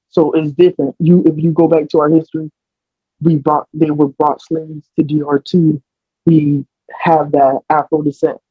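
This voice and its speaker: A man's voice: fairly high pitch, husky, dry recording, phone-quality sound. A young American man in casual podcast talk, explaining at length with many restarts and fillers. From United States. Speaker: podcast guest. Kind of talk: casual chat.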